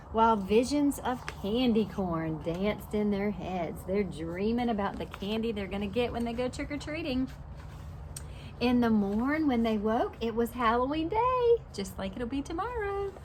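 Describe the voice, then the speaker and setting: A woman's voice: fairly high pitch, a little hiss or hum. A woman preschool teacher reading a storybook aloud and adding simple explanations and questions for young children.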